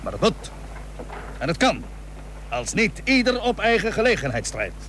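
Animated, high-voiced naval commander. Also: male voice, moderate pace, heavily acted. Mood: earnest, resolute. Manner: earnest, commanding, solemn, archaic formal wording. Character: resolute Dutch naval commander rallying his captains, 17th century. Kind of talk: Dutch radio play acting. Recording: noisy old radio drama recording.

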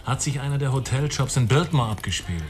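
An actor as a firm, medium-pitched police interrogator. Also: male voice, expressive, fairly quick, a little hiss or hum, close to an everyday voice. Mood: controlled, probing. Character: firm, businesslike male police detective questioning a witness. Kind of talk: dramatic radio play dialogue.

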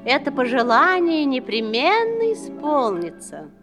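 A woman playing a gracious, reassuring good fairy in a fairy-tale audio play, solemn and measured. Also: sits high, huge pitch swings, over loud music, exaggerated voice.

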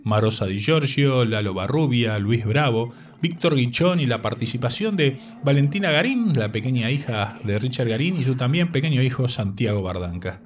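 A man from Uruguay, reading a prepared radio text as the presenter in a measured way. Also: mid-range voice, expressive, far from the mic. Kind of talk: scripted radio narration.

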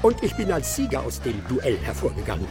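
An actor in a radio drama playing a brisk, bossy master detective who is boastful and impatient. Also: male voice, medium pitch, very animated, music in the background, close to an everyday voice. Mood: smugly triumphant. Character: triumphant, brisk, self-satisfied master detective.

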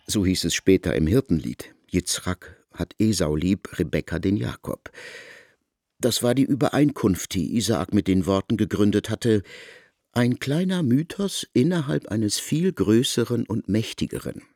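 A man narrating a literary radio drama in a formal, emphatic, expressive manner. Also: fairly low pitch, measured pace, no echo, studio quality.

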